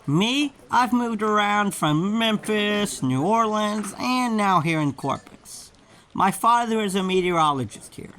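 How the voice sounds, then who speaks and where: A curious, friendly youngster in an audio drama, played by an amateur performer. The voice is medium in pitch and conversational. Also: animated, a little slow, fairly bright tone, slight background noise.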